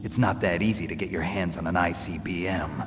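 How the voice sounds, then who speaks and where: An actor playing a stoic, skeptical special-forces infiltrator in a video game, with terse, questioning, deadpan delivery and a gravelly voice. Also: male voice, deep voice, a little fast, over music, close to an everyday voice. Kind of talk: dramatic video game dialogue. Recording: long reverb, clear background noise.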